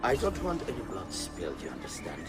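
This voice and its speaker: Deep, imperious male conspirator. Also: wildly swooping pitch, creaky, full character voice. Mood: commanding. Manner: imperious, deliberate. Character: commanding, menacing older male Renaissance conspirator and churchman. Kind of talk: video game cutscene acting. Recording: music under the voice.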